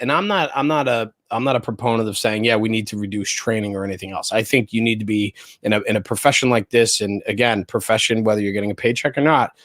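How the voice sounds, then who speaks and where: A man's voice: medium pitch, no room sound. Emphatic American man, close dry sound. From New Jersey, United States. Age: middle-aged. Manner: emphatic, repeats points, checks with "right?". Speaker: interview guest, fire service spokesperson and former chief. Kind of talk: casual but opinionated interview talk.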